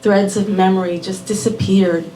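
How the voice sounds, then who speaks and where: A woman's voice: mid-range voice, steady tone, a little hiss or hum. Woman telling a personal story onstage. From born in Puerto Rico, raised in Miami. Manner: warm, unhurried, measured phrasing with Spanish words. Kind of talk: live personal storytelling performance. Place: small venue before a live audience. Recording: roomy venue audio from a distant mic.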